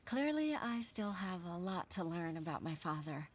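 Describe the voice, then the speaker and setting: A woman's voice: on the low side, very animated, normal speed. A woman playing an earnest, modest and respectful working mother in a video game, speaking politely and sincerely with some hesitation. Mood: moved, grateful. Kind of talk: dubbed game dialogue acting.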